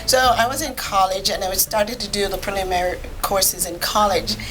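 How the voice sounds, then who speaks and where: A woman from Jamaica speaks as an interview guest in a medium-pitched voice. Her storytelling is conversational, measured and matter-of-fact. Music is clearly audible behind her. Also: fairly bright tone, poor audio. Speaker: podcast guest, physician.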